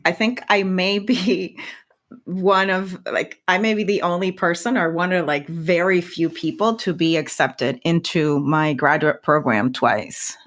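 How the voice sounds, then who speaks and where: Woman from Puerto Rico, Spanish first language, lowish voice, reflective, restarts phrases. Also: measured pace, a bit bright. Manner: reflective, with frequent restarts and repeated words. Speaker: guest scientist. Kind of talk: podcast interview.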